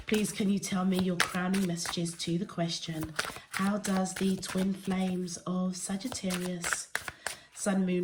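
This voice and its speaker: A woman's voice: lowish voice, background noise. A woman with a cold and a stuffy nose, giving a weekly tarot card reading in an earnest, meandering way with frequent hesitations. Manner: earnest, addresses the listener directly. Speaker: tarot reader.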